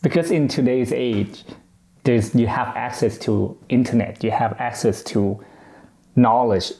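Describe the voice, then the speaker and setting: A man from Thailand, a native Thai speaker, co-hosts a relaxed chat in a mellow, warm voice. He states his views frankly and with emphasis. The sound carries a roomy echo. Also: mid-range voice, a little slow. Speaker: podcast co-host. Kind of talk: casual conversational podcast.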